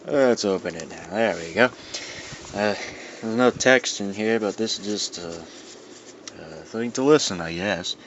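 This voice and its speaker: A man with a fairly low voice talks in a loose, unscripted way, as if half to himself while showing off a collection. His delivery rambles and muses. The recording is dull and lo-fi, with clear noise behind him. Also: a little slow, fairly bright tone. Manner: rambling, musing to himself as he handles things. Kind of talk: casual unscripted show-and-tell. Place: indoors in a dimly lit room.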